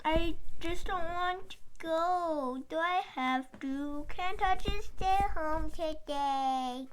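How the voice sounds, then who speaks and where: A high, unhurried young boy's voice, put on by a woman, for an anxious, skeptical puppet schoolboy. He draws out his complaints dramatically in a scripted puppet show. There is noise and clicking behind him. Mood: sulky reluctant.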